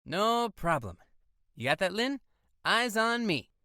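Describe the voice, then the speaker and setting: A woman playing an excitable fifteen-year-old boy comic geek in an audio drama, eager to explain and easily annoyed. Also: mid-range voice, slow, dry recording, clean studio sound, full character voice. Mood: upbeat. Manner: eager explaining, whiny when teased.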